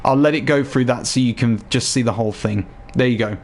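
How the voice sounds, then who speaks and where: A man with a slightly hoarse voice chatting casually to viewers while walking them through a game showcase. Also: medium pitch, brisk, a little hiss or hum. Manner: chatty, addresses viewers directly. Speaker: gaming YouTuber. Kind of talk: casual game tutorial voice-over.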